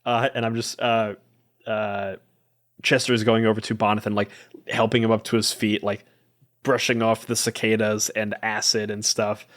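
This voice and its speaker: A man's voice: fairly low pitch, little pitch movement, moderate pace, fairly bright tone. A man speaking casually as a guest player, narrating his moves and chatting about a tabletop roleplaying game. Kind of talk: casual tabletop play and chat.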